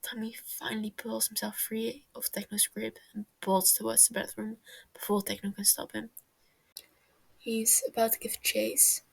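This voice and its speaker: A woman reading a story as scripted narration, her phrases often falling into a breathy hush. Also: fairly high pitch, little pitch movement, normal speed, dry recording, poor audio. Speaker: story reader. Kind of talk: scripted narration of fan fiction.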